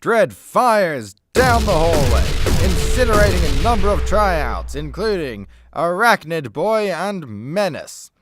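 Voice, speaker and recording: A man's voice: high voice, very animated, takes it slowly, few pauses, noisy background. A man delivering scripted narration as the narrator of a comedy radio play.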